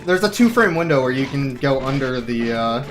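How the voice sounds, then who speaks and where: A man's voice: mid-range voice, lively intonation, moderate pace. A man giving casual, joking live commentary as he speedruns a video game. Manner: wry, joking. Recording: voice under loud game music. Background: loud game music.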